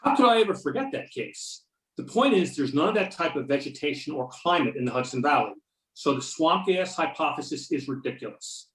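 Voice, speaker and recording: A man's voice: a little high, big pitch swings, fairly quick, fairly bright tone. A man playing an earnest, argumentative agent who believes in UFOs, reading in an amateur readers theater over a video call. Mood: assertive. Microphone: video or conference call. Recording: roomy, distant video-conference microphone.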